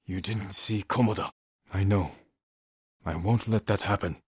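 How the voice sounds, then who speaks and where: A grimly resolute samurai leader for a video game. His low voice has a husky grain and sits in a very dry, close recording. He speaks with solemn, measured restraint in short, clipped lines. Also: fairly even intonation, dry recording, heavily acted. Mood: calm, resolute. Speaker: video game lead character. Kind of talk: dramatic video game voice acting.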